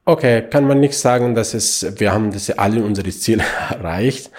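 Man, deep husky voice. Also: darkish tone. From Afghanistan. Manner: halting, broken German with many fillers. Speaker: refugee youth helper. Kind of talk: interview answers.

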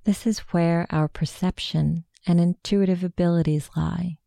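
Female meditation guide, low-pitched and unhurried, with a very soft dark tone and gravelly creak. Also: fairly even intonation, dry recording, clean studio sound. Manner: slow, hushed, soothing instruction.

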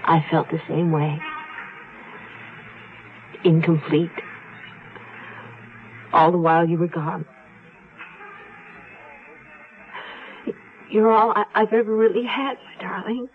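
A woman's voice: on the low side, steady tone, measured pace, long pauses, a little hiss or hum, natural voice. A woman playing a devoted, anxious lover in a melodramatic 1970s radio drama, tender and yearning. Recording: muffled vintage radio recording, faint music.

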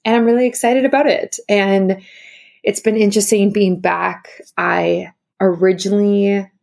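Young female podcaster, upbeat rambling chat, heavy vocal fry. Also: medium pitch, fairly even intonation, unhurried, a little mellow. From South Africa. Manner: chatty, upbeat, heavy use of "like". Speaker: podcast host. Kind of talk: casual solo podcast chat.

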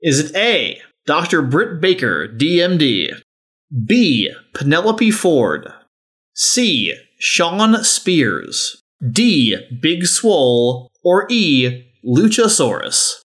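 A man with a somewhat high-pitched voice hosts a podcast. He talks in a casual, conversational way, with plenty of slang, like a fan chatting with friends. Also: sing-song, drawn-out words, a bit bright. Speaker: podcast host and quizmaster. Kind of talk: casual fan chat about wrestling.

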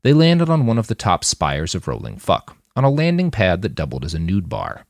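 A man reading a scripted story aloud as an expressive audiobook-style narrator. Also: sits low, normal speed, no echo. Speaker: author reading own fiction podcast.

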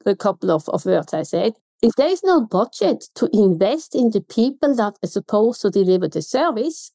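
A woman's voice: fairly high pitch, dark tone, no room sound. A woman from Switzerland with a Swiss German accent, a consultant guest on a podcast interview, talking emphatically and throwing out pointed rhetorical questions.

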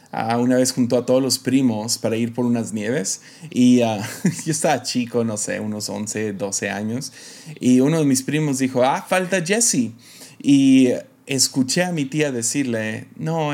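A young man from Mexico who speaks with an American accent, talking alone on his podcast. His pitch sits in a middle range. His delivery is casual and reflective, full of hesitations, restarts and small laughs. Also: darkish tone. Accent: American English. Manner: hesitant, many fillers and restarts, light laughs. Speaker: podcast host.

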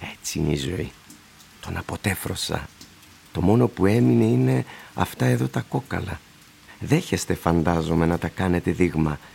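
An actor playing a polite, eerily insistent old shoe-shiner in a radio drama, with a gravelly, husky, breathy voice and a confiding manner. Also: male voice, low voice, a little mellow, slight background noise. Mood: resigned, mournful. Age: older.

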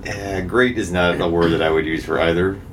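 A man's voice: low-pitched, fairly even intonation, fairly quick, noisy background. A man co-hosting a casual book podcast, joking, laughing often and swearing casually. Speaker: podcast co-host. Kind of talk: casual book club chat.

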